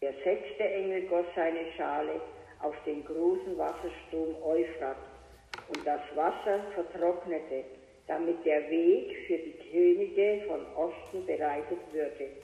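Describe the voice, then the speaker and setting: A woman reading scripture aloud in a measured, solemn way, as a participant in a Bible study. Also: sits low, fairly even intonation, creaky, warm tone, lo-fi sound, a little hiss or hum. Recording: echoey, reverberant remote audio feed.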